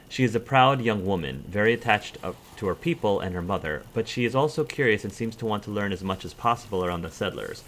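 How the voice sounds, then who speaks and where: Low-voiced man reading game lore. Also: fairly bright tone, a little hiss or hum. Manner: reads aloud with stumbles and self-corrections, adds brief dry asides. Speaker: let's play host. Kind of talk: reading in-game codex entries aloud.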